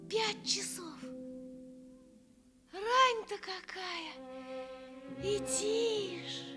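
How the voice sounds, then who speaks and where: Very high-pitched little village girl. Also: drawn-out words, music in the background, heavily acted. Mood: hushed wonder. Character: wonder-struck young village girl, gentle and eager. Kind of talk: Soviet radio fairy-tale acting.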